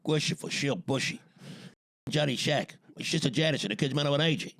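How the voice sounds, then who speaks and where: Guy doing a cocky mob boss, bit higher voice, dry close sound. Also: brisk, a bit bright, no room sound. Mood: boastful.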